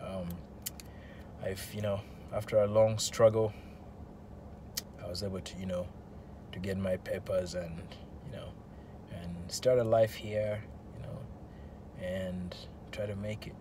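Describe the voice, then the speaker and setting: A man's voice: low-pitched, steady tone, takes it slowly, pauses often, mellow. A man in his thirties with a Ugandan accent, vlogging casually into a phone from a parked car, hesitant with many fillers and restarts. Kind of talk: casual selfie vlog. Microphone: phone at arm's length. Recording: phone in a car with background noise.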